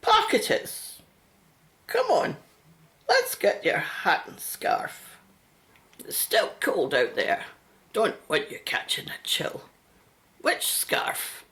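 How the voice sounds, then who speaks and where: A woman playing a gentle, soft-voiced caring mother. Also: medium pitch, huge pitch swings, slow pace, long pauses, heavily acted. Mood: tender, chatty. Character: caring, chatty, anxious mother and carer. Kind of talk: radio play acting.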